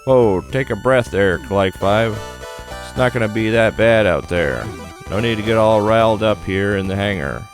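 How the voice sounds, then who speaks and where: A man voicing a brisk, reassuring military flight deck engineer in a game, with a breezy, encouraging delivery. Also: lowish voice, music in the background, pitched above the performer's own voice, natural voice.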